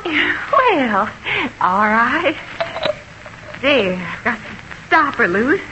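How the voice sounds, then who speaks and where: An actress in a 1950s radio comedy playing a polite young housewife, nervous, genteel and hesitant. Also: female voice, fairly high pitch, big pitch swings, extremely slow, full character voice. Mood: polite, flustered. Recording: noisy old radio recording.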